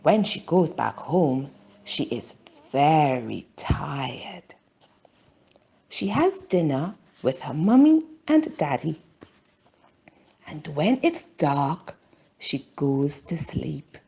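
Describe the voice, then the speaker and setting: A woman reads a children's story for language learners in deliberate short phrases. Her pace is very slow and her intonation swings widely, with a breathy, husky edge to the voice. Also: low-pitched, very animated, mellow. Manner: deliberate, simple phrasing with pauses.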